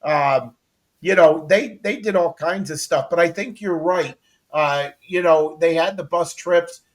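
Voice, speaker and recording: A man's voice: fairly high pitch, a little slow. An American man hosting a casual interview chat in an informal, enthusiastic way. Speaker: podcast host, former radio colleague.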